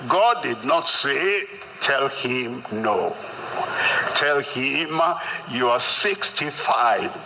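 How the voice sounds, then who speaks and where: An older man delivering an emphatic sermon, speaking deliberately and repeating phrases for emphasis. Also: mid-range voice, lively intonation, gravelly, noisy background. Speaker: preacher.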